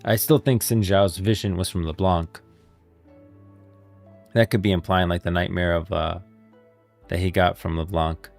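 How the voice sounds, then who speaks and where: A man's voice: deep voice, steady tone, measured pace, vocal fry, long pauses. A man livestreaming casual, emphatic reaction commentary on game lore, often repeating himself. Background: faint music.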